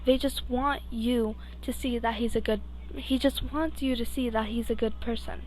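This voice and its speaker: A woman's voice: on the high side, steady tone, normal speed, darkish tone, rough recording. A young woman reading fan fiction aloud informally, stumbling over words and breaking off into asides. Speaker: amateur podfic reader.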